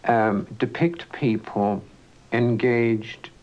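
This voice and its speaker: A man interviewing a writer, asking long, deliberate, elaborate questions. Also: mid-range voice. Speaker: arts interviewer. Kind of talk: probing literary interview. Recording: dull lo-fi broadcast recording.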